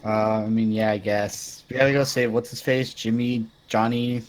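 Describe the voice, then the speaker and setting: A man's voice: mid-range voice, steady tone, normal speed, low-quality recording. Man joking casually over faint noise. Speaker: player in a tabletop game.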